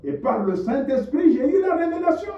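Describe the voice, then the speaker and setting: A man preaching a sermon, impassioned, dropping to low murmurs and rising to shouted rhetorical questions. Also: very animated, moderate pace. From DR Congo. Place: church hall. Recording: echoey, distant, dull lo-fi church recording.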